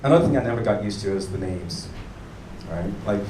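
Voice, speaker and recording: An American man doing a stand-up comedy set on a club stage, animated and chatting with the audience. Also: on the low side, fairly quick. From United States. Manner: animated, mimics locals and chats with the audience. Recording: echoey room taped from far off, crowd noise.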